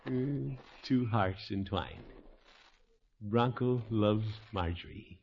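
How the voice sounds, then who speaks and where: An actor playing a sly, blustery middle-aged uncle in a 1950s radio comedy, hemming and theatrical. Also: male voice, on the low side, slow pace, vocal fry. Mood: smug, sentimental. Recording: vintage radio recording, narrow band, hiss.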